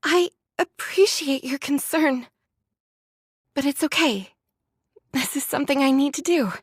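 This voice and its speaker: High, gentle, devout young android. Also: female voice, unhurried, dry recording, studio quality. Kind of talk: anime-style game voice acting.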